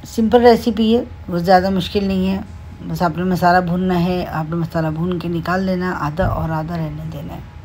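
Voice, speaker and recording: Casting note: woman host, Pakistani Urdu accent, lowish voice, easygoing step-by-step kitchen talk, faint hiss underneath. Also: steady tone, darkish tone. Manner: unhurried, homely explaining with many fillers. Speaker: cooking channel host. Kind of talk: home cooking tutorial voice-over. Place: home kitchen.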